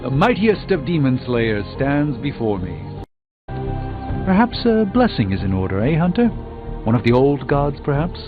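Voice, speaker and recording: An actor playing a middle-aged, erudite sorcerer mentor in a video game, speaking with formal, grandiose eloquence and dry wit. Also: male voice, mid-range voice, sing-song, a little slow, narrow, tinny audio. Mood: wry teasing. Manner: theatrical, eloquent, formal, wry. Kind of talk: video game character dialogue. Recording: game dialogue over prominent music.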